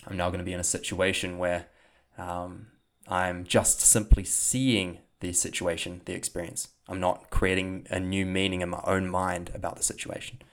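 New zealand man, podcast coach, deep voice, casual and rambling. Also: level tone, moderate pace. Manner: rambling, many fillers and restarts. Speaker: podcast host and mindfulness coach. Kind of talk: casual spoken talk and podcast outro.

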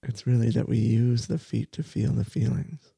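A man who is a meditation teacher, leading a guided body scan for sleep with slow, soft, soothing phrasing that repeats itself. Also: mid-range voice, gravelly, husky, dry recording.

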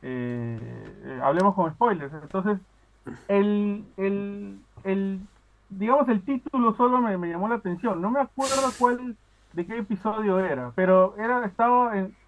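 A man from Peru talks casually over a tinny phone line, his voice higher than most men's. He hesitates a lot, restarting sentences and filling gaps with small words. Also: unhurried, a little hiss or hum. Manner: hesitant, many fillers and restarts. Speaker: podcast caller. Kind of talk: casual chat.

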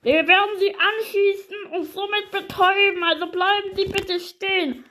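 A woman's voice: extremely high pitch, fairly even intonation, moderate pace, bright, forceful tone. A woman voicing a stern special-forces soldier who gives formal orders in an improvised gaming role-play. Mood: stern warning. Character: commanding military special-forces soldier barking arrest orders.

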